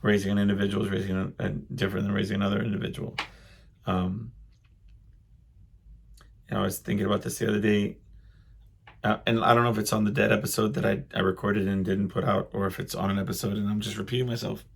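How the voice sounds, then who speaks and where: A middle-aged man hosting a solo podcast, reflecting casually and haltingly as he thinks aloud in a loud voice. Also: fairly low pitch, level tone, vocal fry, husky. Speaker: podcast host.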